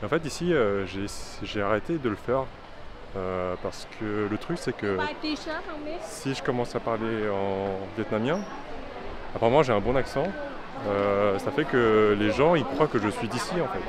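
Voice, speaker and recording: A man's voice: on the low side, lively intonation, moderate pace. A young man vlogging casually while travelling, talking in a chatty way with many fillers and restarts. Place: busy city street outdoors. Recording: loud street noise. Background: loud traffic and street noise.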